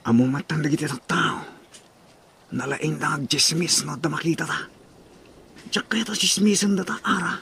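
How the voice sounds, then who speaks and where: A man's voice: fairly high pitch, moderate pace, gravelly, long pauses, sharp tone. Lovestruck Ilocano suitor, a man acting, noisy backdrop. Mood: tender, coaxing. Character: lovestruck, good-natured male suitor. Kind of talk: Ilocano radio drama acting.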